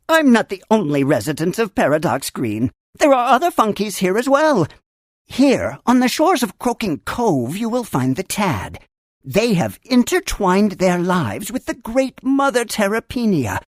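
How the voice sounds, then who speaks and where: A woman voicing a wise, earnest creature who tends a great tree in a children's computer game, with theatrical, formal storybook phrasing and gentle humor. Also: a little high, dry recording, studio quality. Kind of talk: scripted game character narration.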